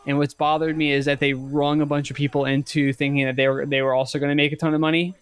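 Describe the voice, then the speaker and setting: A man in his thirties co-hosting a casual podcast chat, speaking haltingly and often repeating words as he works out a thought. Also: on the high side. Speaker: podcast co-host. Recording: clicks and steady background noise.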